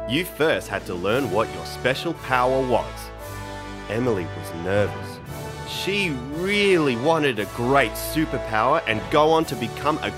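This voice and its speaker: A man narrating a children's story in an expressive, playful style. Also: medium pitch.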